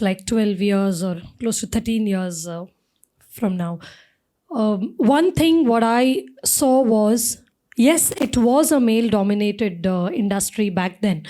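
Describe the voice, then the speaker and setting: A woman, an event emcee on a panel, speaking emphatically in a low, strong voice with many fillers. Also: warm tone. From India. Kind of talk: panel discussion answer.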